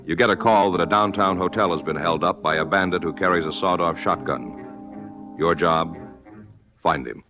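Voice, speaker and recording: Male announcer, 1950s radio: very low, grave, dramatic narration on a dull old recording under music. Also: normal speed. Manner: terse, grave, portentous. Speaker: show announcer. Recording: muffled vintage radio recording.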